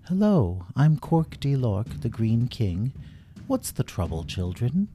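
A man's voice: mid-range voice, very animated, takes it slowly, warm tone, a little hiss or hum. A man voicing a kindly, regal fantasy king in a children's story, easily dismayed under threat. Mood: welcoming.

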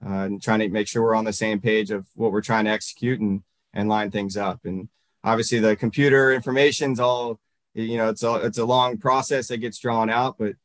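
A man in his thirties from Northern Virginia, a baseball coach answering interview questions in a halting way, full of fillers and restarts. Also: on the low side, steady tone, dry recording.

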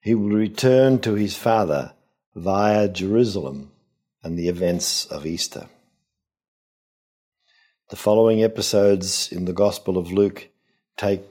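Older male priest for a scripture reflection: very low, rough-edged voice, deliberate and pausing. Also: warm tone. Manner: measured, deliberate phrasing with pauses. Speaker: priest giving Gospel reflection. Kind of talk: homily, expository reflection.

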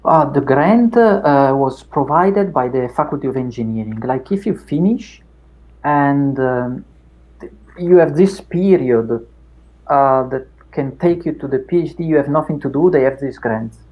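A middle-aged man with an Italian accent, Italian his first language, talking through his past in an unhurried interview with many fillers and restarts. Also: mid-range voice, expressive, dull audio. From Italy. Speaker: academic guest. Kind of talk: reflective interview chat.

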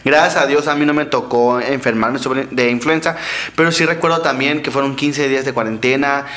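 Bright-voiced young Mexican man, roomy echo. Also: medium pitch, fairly even intonation, fairly quick. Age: young adult. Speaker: podcast co-host. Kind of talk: casual chat. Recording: echoey room.

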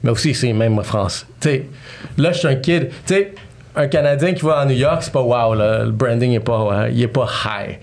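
A man in his thirties speaks with a Quebec French accent and a gravelly, creaky edge in a middle-pitched voice. He tells stories in a loose, animated way and drops English slang into his French. He sounds like a relaxed podcast guest. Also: normal speed. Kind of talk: casual storytelling interview.

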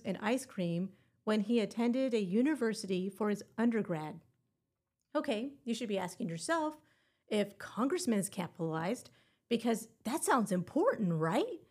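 A woman's voice: mid-range voice, darkish tone. A woman hosting a teacher-exam prep podcast, talking in a playful, encouraging way with little puns. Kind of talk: scripted educational podcast.

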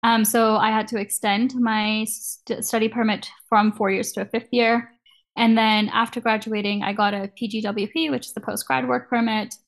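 A woman's voice: a little high, little pitch movement, moderate pace. A woman in her thirties from Kenya, chatting casually as an interview guest and laughing easily.

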